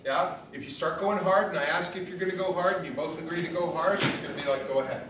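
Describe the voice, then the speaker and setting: A man's voice: a little high, fast. A man in his fifties giving an informal coaching briefing to a group as organizer and referee, often checking in with short tag questions. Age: 50s. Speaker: sparring event organizer and referee. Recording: echoey, distant room recording with noise.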